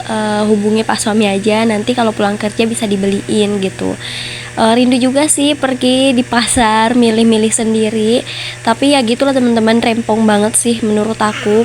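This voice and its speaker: A woman's voice: a little high, darkish tone, slight background noise. A young woman with a nasal voice, congested from flu, chatting casually to viewers in a homemaker's vlog voice-over. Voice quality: nasal, stuffy. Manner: chatty, conversational, addresses viewers as friends. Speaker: homemaker vlogger.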